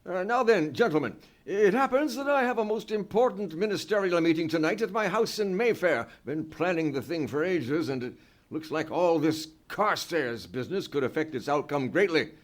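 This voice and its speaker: Guy acting a posh older gent in a radio play, chatty and courteous, high voice that swoops around. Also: lively intonation, moderate pace, lightly acted. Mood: businesslike. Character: genial, well-bred older English gentleman statesman. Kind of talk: live old-time radio play acting.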